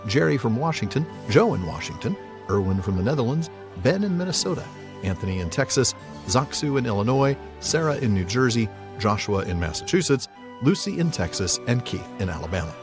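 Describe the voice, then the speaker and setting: A man's voice: mid-range voice, very animated, brisk, music in the background. A man co-hosting a podcast in a casual, jokey way, moving between banter and sign-off. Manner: jokey, laughs often while talking. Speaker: podcast co-host.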